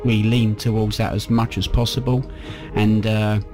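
This man, who leads a youth band, answers questions in a lowish voice. He speaks with warm enthusiasm and restarts a few of his sentences. A little music sits behind him. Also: flat delivery, moderate pace. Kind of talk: casual interview answers.

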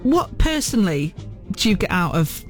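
Male radio interviewer, casual and enthusiastic, highish varied pitch, light music bed. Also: very animated, normal speed. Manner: enthusiastic, encouraging.

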